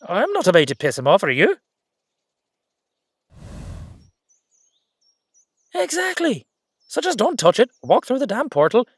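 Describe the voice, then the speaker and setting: A man playing a gruff but fond Irish dullahan in a roleplay audio drama, speaking in a colloquial, hesitant, sweary way. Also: high voice, no echo, clean studio sound. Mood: exasperated, insistent.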